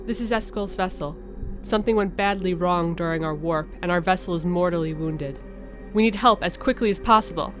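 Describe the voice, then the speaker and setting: Woman voicing a desperate alien crew member's distress message, urgent, halting, over faint music. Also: fairly low pitch, close to an everyday voice. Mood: urgent pleading.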